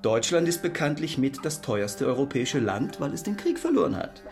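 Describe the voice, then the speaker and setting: An actor playing a brisk, pedantic male railway clerk who rattles off facts in a German radio drama. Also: a little high, big pitch swings, a little mellow, faint music behind. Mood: brisk, informative. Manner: rattling off facts, matter-of-fact.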